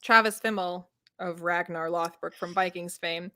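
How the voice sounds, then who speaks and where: Bright-voiced, opinionated woman. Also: fairly low pitch, fairly even intonation, moderate pace. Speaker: livestream co-host. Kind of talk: casual chat.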